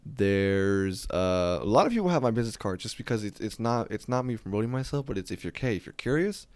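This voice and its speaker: A young man hosting a podcast, with a deep voice, chatting casually in a profane, rambling way. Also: animated, no room sound. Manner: profane, rambling, quick storytelling. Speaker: podcast host.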